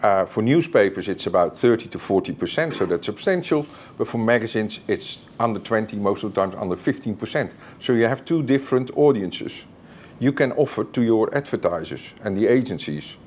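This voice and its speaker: A man's voice: on the low side, animated, fairly quick, darkish tone, husky. A man speaking as a panelist in a conference panel discussion, explaining his points hesitantly with many fillers. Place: conference stage, indoors.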